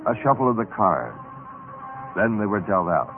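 A man's voice: fairly low pitch, very animated, normal speed. A man hosting an old radio mystery show with a theatrical, ominous introduction. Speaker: host. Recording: narrow old radio broadcast sound. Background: loud music.

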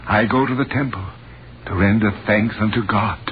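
A humble biblical physician, acted by a man in an old radio play, low-voiced and earnest, with formal archaic diction. The recording is muffled with a faint hiss of noise. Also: moderate pace, close to an everyday voice. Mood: humble, awed. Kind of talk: 1930s radio acting, archaic biblical speech.

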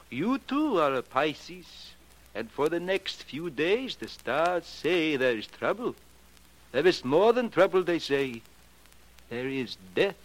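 A man's voice: fairly high pitch, poor audio. A man playing an ominous, insistent janitor obsessed with astrology, speaking stilted broken English in 1940s radio drama style. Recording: vintage 1940s radio recording.

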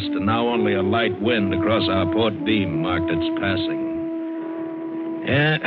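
An American actor playing a tough, wry seaman who tells his adventure in vintage radio drama style. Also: on the low side. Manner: hard-boiled, wry, dramatic. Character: tough, wary, wisecracking seaman and adventurer telling his tale. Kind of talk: 1950s radio drama acting with first-person narration. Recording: scratchy, hissy narrowband old radio recording.

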